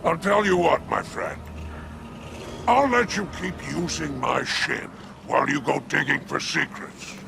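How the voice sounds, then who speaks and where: An actor voicing a sly, pompous alien spider crime lord in a video game, with a florid, sardonic drawl. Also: male voice, on the high side, animated, unhurried, gravelly, noisy background, heavily acted. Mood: smug, scheming. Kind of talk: video game cutscene acting.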